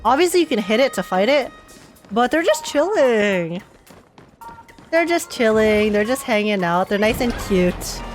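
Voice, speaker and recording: A woman streamer giving casual, excitable commentary while playing a game, chatty and quick to swear and laugh. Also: fairly high pitch, soft background music.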